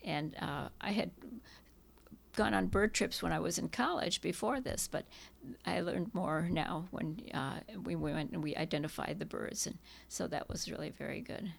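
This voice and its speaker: An older woman whose first language was Czech, recalling her past in an interview in a halting, reminiscing way with many restarts. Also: fairly low pitch, vocal fry. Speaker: interviewee, hiking club member. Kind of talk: oral history interview.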